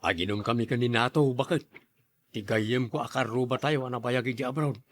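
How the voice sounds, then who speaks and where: A man's voice: mid-range voice, a little fast, dry recording, lightly acted. A man playing a henpecked, hard-drinking husband in an audio drama, grumbling and protesting in a defensive manner. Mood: sociable. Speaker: voice actor in a drama. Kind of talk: Ilocano audio drama acting.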